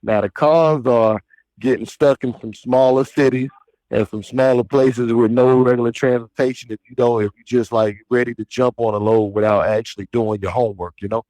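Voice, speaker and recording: A man's voice: medium pitch, fairly even intonation, normal speed, mellow, no room sound, poor audio. A man in his thirties, a truck driver, chatting casually and loosely as an interview guest. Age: 30s. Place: outdoors at a trick-or-treat event.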